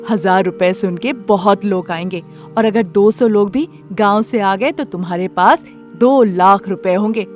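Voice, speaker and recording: A woman's voice: mid-range voice, measured pace, darkish tone, faint music behind, lightly acted. Woman as a shrewd, persuasive young friend. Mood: knowing, persuasive. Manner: matter-of-fact, persuasive. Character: shrewd young female friend giving money-making advice.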